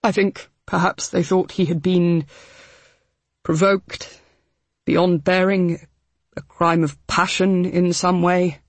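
A sorrowful, guilt-laden man, as voiced by a woman reading aloud, speaking slowly and heavily. The breathy reading sits in a dry, close audiobook recording. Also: on the low side, level tone, dry recording. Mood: heavy. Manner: heavy, apologetic. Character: Abel, sad, remorseful grown man.

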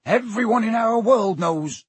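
A man voicing a gruff, warm-hearted giant in an audiobook, rough-voiced, with rustic, blustering and halting speech. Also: high-pitched, animated, dry recording, pitched above the performer's own voice, heavily acted. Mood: hesitant, grave. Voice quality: husky, rough.

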